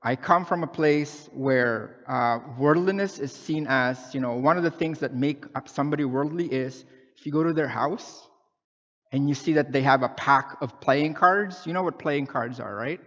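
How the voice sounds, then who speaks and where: A man's voice: medium pitch, normal speed, a bit bright, long reverb tail, muffled recording. A man from the Philippines preaching a sermon in a conversational way, often checking in with the congregation by asking "okay?" or "right?". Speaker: pastor.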